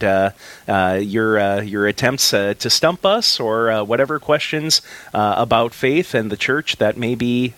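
Male radio co-host, mid pitch, stumbling announcement over quiet music. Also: no room sound. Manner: hesitant, many uhs. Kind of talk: studio announcement.

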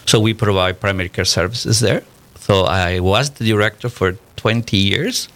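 Gravelly low-voiced man with a Peruvian accent. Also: moderate pace, fairly bright tone. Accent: Peruvian Spanish. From Peru. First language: Spanish. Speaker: interview guest, physician. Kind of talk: conversational interview answers.

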